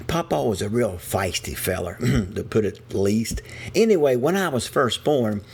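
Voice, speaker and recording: A man's voice: lowish voice, animated, unhurried, darkish tone, husky. An older man from West Virginia with an Appalachian accent, telling a true story casually in a folksy, wry way. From West Virginia, USA. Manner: folksy, wry, chuckling asides. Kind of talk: casual first-person storytelling. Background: music.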